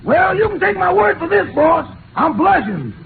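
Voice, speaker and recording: Casting: cheeky schemer, very high gravelly voice, 1940s radio comedy, tinny broadcast. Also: male voice, very animated, normal speed, heavily acted. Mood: cheeky pleading. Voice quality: raspy, gravelly. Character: wily, cheeky male employee sweet-talking his boss. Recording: noisy 1940s radio, tinny, audience behind.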